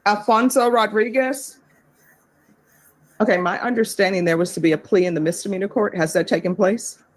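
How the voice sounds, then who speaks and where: A woman judge in a courtroom, friendly and plainspoken, spelling out clear step-by-step instructions. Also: mid-range voice, moderate pace, low-quality recording. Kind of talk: presiding over court hearings.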